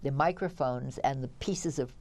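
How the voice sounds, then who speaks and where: A woman's voice: a bass voice, moderate pace, a little mellow. A woman co-hosting as a theatre critic, chatting through reviews in a wry, opinionated way. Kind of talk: conversational review banter.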